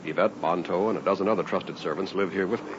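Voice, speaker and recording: An actor playing a wealthy, anxious French count with a grave, dramatic delivery in an old-time radio play. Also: male voice, sits low, quick pace, close to an everyday voice. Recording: muffled, very noisy vintage radio recording.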